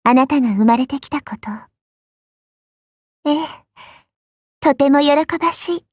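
A woman playing a petite, elegant, coy and haughty goddess in a mobile game, speaking softly with refined, condescending politeness. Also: high-pitched, measured pace, no room sound, studio quality. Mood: gracious. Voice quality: soft, breathy. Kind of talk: Japanese mobile game character voice lines.

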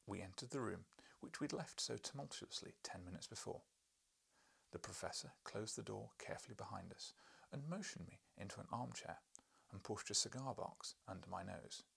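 A man's voice: on the low side, lively intonation, normal speed, gravelly, a bit bright, breathy edge. A man in his thirties reading literary narration as an audiobook narrator. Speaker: audiobook reader. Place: furnished book-lined room, indoors.

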